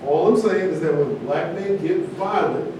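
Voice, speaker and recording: Man playing earnest, higher-voiced proud athlete, very echoey. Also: moderate pace, natural voice. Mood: admonishing. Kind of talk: staged reading of a play. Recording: echoey room, distant mic, rumbly background noise.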